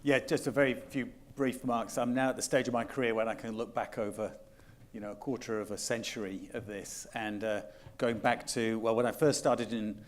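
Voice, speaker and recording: A man's voice: medium pitch, fairly even intonation, a little fast. A man speaking as a panelist at a conference, reflective and slightly hesitant. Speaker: panelist, economist. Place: conference hall.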